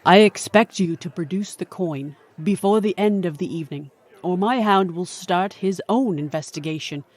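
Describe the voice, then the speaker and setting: An actress playing an imperious supernatural boss in an audio drama, formal and commanding with cool menace. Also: female voice, lowish voice, moderate pace, close to an everyday voice. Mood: coolly threatening. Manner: formal, commanding, coolly threatening.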